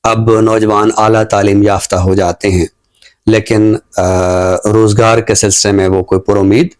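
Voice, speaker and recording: A middle-aged man with a Pakistani accent gives reflective social commentary, explaining deliberately and pausing mid-sentence. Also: fairly low pitch, level tone. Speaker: literary talk show commentator.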